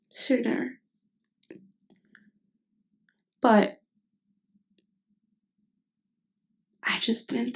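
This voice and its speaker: A woman acting a nervous, tender best friend with hidden love in an intimate ASMR roleplay, speaking hesitantly and haltingly. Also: on the high side, creaky, darkish tone. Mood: embarrassed, tearful. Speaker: voice actress.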